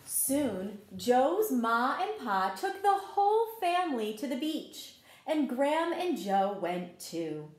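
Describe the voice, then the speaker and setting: A woman teacher reading a picture book aloud to young children, expressive and encouraging, often turning to the listeners with questions. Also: high-pitched, slow pace, hardly any pauses. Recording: roomy, echoing room sound.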